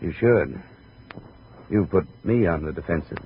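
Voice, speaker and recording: An actor playing a wry, hard-boiled American investigator, clipped and world-weary, in 1950s radio noir style. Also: male voice, low-pitched, measured pace, slight background noise. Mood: cool, probing. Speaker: lead actor and narrator of a radio detective series. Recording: dull vintage radio recording with music.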